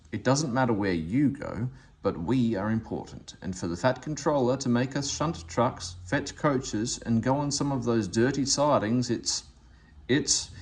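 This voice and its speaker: A man's voice: mid-range voice, a little mellow, lightly acted. A man voicing a pompous, self-important, grumbling steam engine in a children's storybook. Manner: self-important, dignified, indignant.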